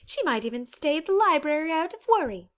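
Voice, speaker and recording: A woman's voice: high voice, big pitch swings, brisk. A woman voicing a refined, caring, insistent female pony with a genteel, theatrical manner in an audiobook. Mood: fussily insistent. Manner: genteel, polite, theatrical phrasing. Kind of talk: dramatized audiobook character acting.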